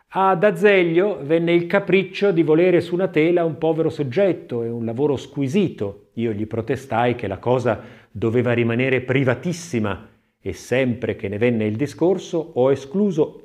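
Expressive male narrator with a lively pitch range. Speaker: audiobook narrator. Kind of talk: scripted literary narration, expressive.

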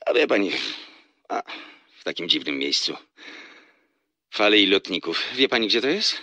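An actor playing a courteous, amused divorced businessman in an audio drama, flirting gently in a very deep, gravelly voice. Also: sing-song, moderate pace, dry recording, heavily acted. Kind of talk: Polish audio drama dialogue.

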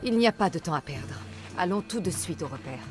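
A tough, wry mercenary woman played by an actress with a lowish voice. She talks coolly and with self-assurance, as in a video game drama, while music plays strongly behind her. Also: lively intonation, a little fast, natural voice. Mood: steady, decisive. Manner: cool, dry, self-assured. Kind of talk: video game voice acting in French. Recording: game dialogue over loud music.

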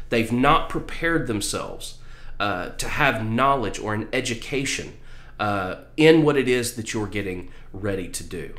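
A man's voice: lowish voice, a bit bright. A middle-aged man giving an emphatic motivational talk to camera, repeating phrases to stress his points. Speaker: vlogger giving career advice. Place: small room indoors. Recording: roomy, echoey indoor sound.

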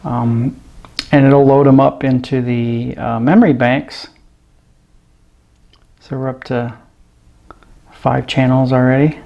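Guy at his desk going over a radio, slow and easygoing, lots of ums and uhs. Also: mid-range voice, little pitch movement, vocal fry, dull audio. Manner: unhurried, hesitant, many ums and uhs. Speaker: radio reviewer. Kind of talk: casual hands-on product review. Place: indoor room at a desk with gear. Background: radio noise and static at times.

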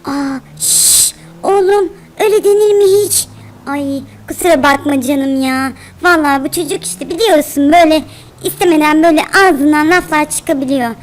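A woman's voice: high-pitched, lively intonation, moderate pace, a little hiss or hum. A woman voicing a fussy, doting spider mother in a children's cartoon, chattering and chiding with lots of exclamations. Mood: chiding, apologetic.

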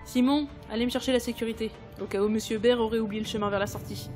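A woman playing a sharp-tongued, sardonic book editor in an audio drama. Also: a little high, steady tone, quick pace, natural voice. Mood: cold, firm. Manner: cutting, sardonic. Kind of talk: French audio drama acting. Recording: music behind the voice.

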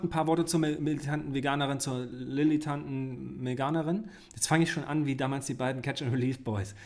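A man in his forties hosting a casual reaction video from his home studio, talking in a rambling, chatty way with many restarts and self-corrections. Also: on the high side. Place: home studio with desk and shelves. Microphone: studio mic on desk, headphones.

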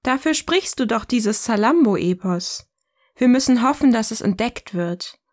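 Eine Frau spielt in einem Hörspiel eine provokante, ironische Gesprächspartnerin, die bedächtig und neckend philosophiert. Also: female voice, fairly high pitch, expressive, a little slow, darkish tone. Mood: ironic, provocative. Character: provocative, ironic woman sparring in philosophical dialogue. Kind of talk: scripted audio drama dialogue, philosophical banter.

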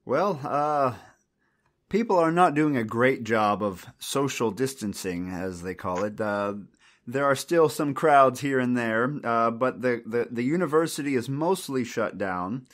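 A man who hosts an interview podcast and teaches at a university, speaking in a measured way and asking plain questions. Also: mid-range voice, fairly bright tone. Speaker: podcast host. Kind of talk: hosting an interview.

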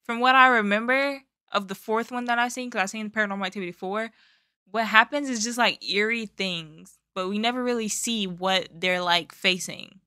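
An American woman in her twenties chatting casually as a reaction host, playful, animated and slangy, close on the microphone. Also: mid-range voice, normal speed, dry recording. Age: 20s. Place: small bedroom studio, indoors. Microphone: close boom-arm microphone.

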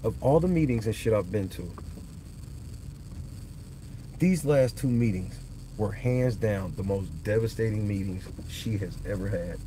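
A man's voice: fairly low pitch, unhurried, long pauses, mellow, a little hiss or hum, poor audio. A man in his thirties hosting a livestream, talking loosely and profanely in casual chat from a parked car at night into a phone on the dashboard. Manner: loose, profane, rambling talk. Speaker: livestream host.

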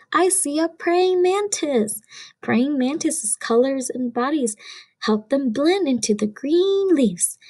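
A woman reading a children's picture book aloud as a storyteller, in an expressive way aimed at young listeners. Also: high voice, slightly rough.

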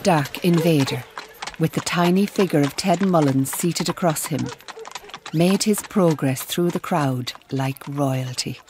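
A woman playing a refined, reflective storyteller, narrating a radio drama in a measured, descriptive manner. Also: low voice, background noise.

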